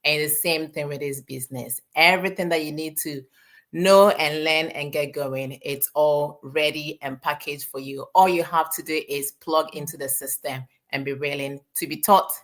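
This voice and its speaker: Woman from Ghana, low and bright, earnest panel speaker who stresses her points by repeating them. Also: steady tone, moderate pace. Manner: earnest, encouraging, repeats for emphasis. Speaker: guest speaker. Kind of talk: live online panel talk.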